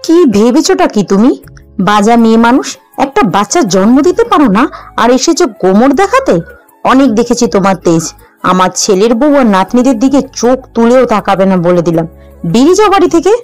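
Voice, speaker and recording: A woman's voice: fairly high pitch, big pitch swings, normal speed, a little mellow, soft background music. A woman playing a harsh middle-aged village mother-in-law in an audio drama, scolding with open contempt. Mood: furious, scornful. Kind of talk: dramatised audio story dialogue.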